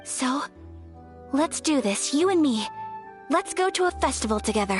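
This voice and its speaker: A woman's voice: high-pitched, lively intonation, normal speed, faint music behind. A woman playing a polite, earnest young noblewoman in a video game, courteous and composed. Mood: earnest, warm. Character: gentle, polite young noblewoman of a famous clan.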